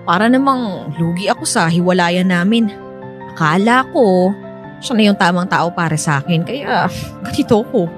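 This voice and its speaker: A woman's voice: lowish voice, expressive, moderate pace, pauses often, over music, lightly acted. A Filipino woman playing a lovelorn, conflicted schoolteacher in a radio drama. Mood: heartbroken. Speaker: voice actor and narrator in a radio drama. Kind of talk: Filipino radio drama acting and first-person narration.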